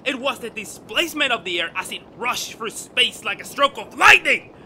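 Man acting excitable, high-voiced gentleman astronomer, radio drama. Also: moderate pace, a little hiss or hum, exaggerated voice. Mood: breathless excitement. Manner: theatrical, grandiose, professorial. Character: excitable, lecturing amateur astronomer, doting on his sweetheart.

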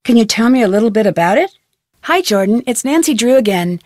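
A woman acts out a young American amateur sleuth for a mystery video game. Her voice sits a little high, earnest and polite, with an inquisitive, determined way of questioning. The recording is close and dry, like studio game dialogue. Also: animated, fairly quick, darkish tone, dry recording, lightly acted. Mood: curious, earnest. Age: young adult. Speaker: video game detective protagonist. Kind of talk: scripted adventure game voice acting.

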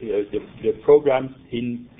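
A French-speaking man from Belgium presents at a conference, stopping and restarting often with plenty of "uh"s. His mid-pitched voice is plain and ordinary for a man. The audio is thin and tinny, like a phone line. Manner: halting, many fillers and restarts. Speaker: conference presenter, center principal investigator. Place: conference room.

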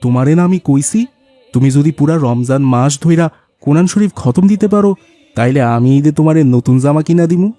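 A mellow, slightly high male voice for a poor, weary rickshaw-puller father in a cartoon, acted by a man. He is earnest and devoted, speaks rural dialect with a Bangladeshi accent, and sounds close and dry. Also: a little fast, no echo, faint music behind, close to an everyday voice. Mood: stern, fatherly. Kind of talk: cartoon voice acting.

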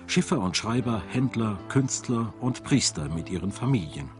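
A man's voice: fairly low pitch, expressive, normal speed. A man reading scripted narration for a documentary as a studio voice-over. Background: music.

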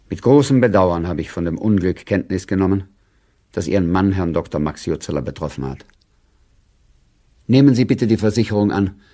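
Radio play: courteous but condescending chairman, lowish mellow voice, formal letter delivery. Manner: stiff, courteous, measured. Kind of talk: 1930s letter read in radio drama.

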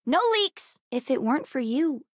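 A woman voicing a bright, bookish, sassy young girl in a video game, earnest, chatty and precocious. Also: high voice, animated, normal speed, dry recording, clean studio sound. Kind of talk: video game character dialogue.

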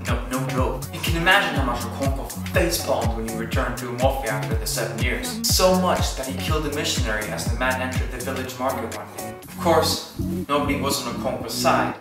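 A man's voice: medium pitch, a little fast. A teenage boy whose first language is Polish, presenting a school book report with playful asides, recorded in a small room on a camera mic. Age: teens. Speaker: student. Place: small indoor room, plain wall. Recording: echoey room, distant mic, music behind.

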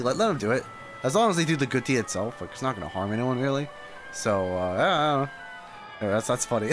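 A man's voice: mid-range voice, a bit bright. A young man streaming, giving chatty, slangy, casual reactions to a game.

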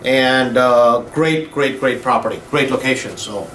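A man on a phone call in a small office, talking slowly with many fillers and drawn-out words. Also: mid-range voice, fairly bright tone. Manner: drawn-out, filler-heavy talk. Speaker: real estate investor bidding at auction. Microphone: phone held at mouth, speakerphone. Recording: roomy office with faint hum.

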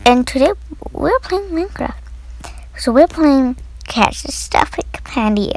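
A woman casually narrating her gameplay, rambling and halting as she thinks aloud. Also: high-pitched, very animated, a little mellow, a little hiss or hum, poor audio. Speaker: gaming video host.

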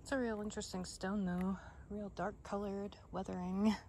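A woman narrating a hike, thinking aloud in a slow, musing way with soft whispered asides, recorded on a handheld phone outdoors. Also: mid-range voice, little pitch movement. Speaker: hiking vlogger. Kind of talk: casual hiking narration. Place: outdoors on a wooded trail. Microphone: phone camera held by the narrator. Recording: faint outdoor noise.

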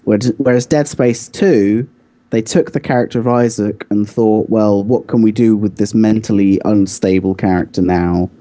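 A man's voice: on the low side, steady tone, moderate pace, mellow. A man co-hosting a podcast, explaining his points insistently in a casual debate. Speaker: podcast co-host.

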